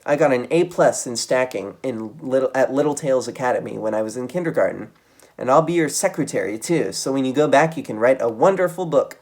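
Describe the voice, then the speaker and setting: A man's voice: mid-range voice, expressive, brisk, a little mellow. A man voicing a small, eager boy mouse nephew in a storybook, chirpy and sweetly boastful.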